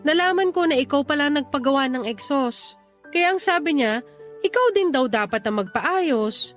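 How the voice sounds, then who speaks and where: A woman's voice: high-pitched, lively intonation, normal speed, fairly bright tone. A young woman with a Filipino accent playing a considerate but insistent neighbour in a radio drama, with music underneath. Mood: insistent, exasperated.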